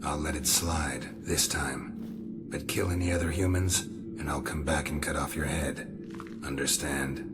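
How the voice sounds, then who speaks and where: A man playing a gruff, stoic monster hunter in a video game, gravelly and terse, with an American accent. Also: unhurried, loud music behind the voice. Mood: stern, warning. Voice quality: gravelly, low. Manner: terse, deadpan, clipped. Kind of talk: video game character acting.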